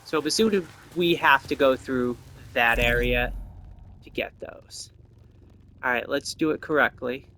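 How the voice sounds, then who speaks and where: A man's voice: medium pitch, measured pace, sharp tone, slight background noise. A man giving casual gameplay commentary, thinking aloud and explaining each step to viewers. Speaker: video game player and commentator.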